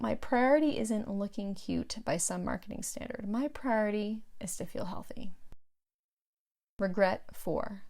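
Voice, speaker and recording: A middle-aged woman narrating her own audiobook from a script, with measured, clearly phrased delivery. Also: on the high side.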